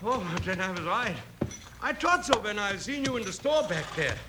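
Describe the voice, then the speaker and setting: An actor playing an anxious, polite settler in a Western radio drama. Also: male voice, sits high, normal speed. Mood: apologetic, polite. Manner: nervous, deferential, excitable. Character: anxious, polite immigrant settler guarding his family. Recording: noisy old radio recording.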